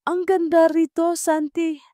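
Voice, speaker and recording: A sweet young receptionist with big dreams, voiced by a woman narrator in an audio romance drama. Her tone is soft-edged and mellow, and the sound is close and dry. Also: very high-pitched, level tone, measured pace, no room sound, pitched above the performer's own voice. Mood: dreamy, wistful. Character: Ali, young female receptionist, dreamy, excited, ambitious, sweet.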